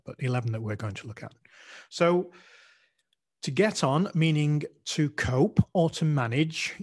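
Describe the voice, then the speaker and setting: A man hosting an English lesson podcast, speaking at an ordinary middle pitch. He explains phrases patiently and spells out words letter by letter for his listeners. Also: darkish tone, husky. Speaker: podcast host, English teacher. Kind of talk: language lesson podcast chat.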